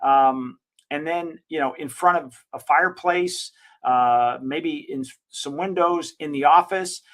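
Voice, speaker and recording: Excited agency owner guy, bit higher voice than you'd expect, rambles and corrects himself a lot. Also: unhurried. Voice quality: slightly higher-pitched voice. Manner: enthusiastic, recommending. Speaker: digital marketing agency owner. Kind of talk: casual screen-share software walkthrough.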